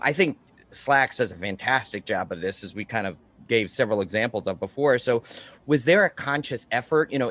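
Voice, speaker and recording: A man's voice: mid-range voice, a bit bright. A man co-hosting a podcast, chatting casually and asking questions in a rambling, effusive way. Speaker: podcast co-host interviewer. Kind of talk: casual fan chat.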